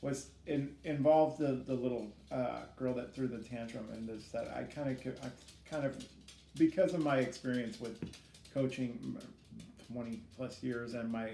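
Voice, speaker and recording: Middle-aged man, halting, in an echoey room. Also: mid-range voice, creaky, mellow. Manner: hesitant, halting, self-critical. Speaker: student teacher being interviewed. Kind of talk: casual reflective interview answers. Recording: echoey room with faint background noise.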